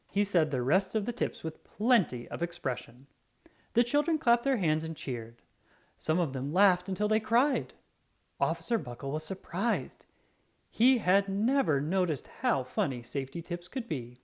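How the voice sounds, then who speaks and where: A storybook narrator reading aloud for children in an expressive, dramatic way with drawn-out emphasis. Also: medium pitch.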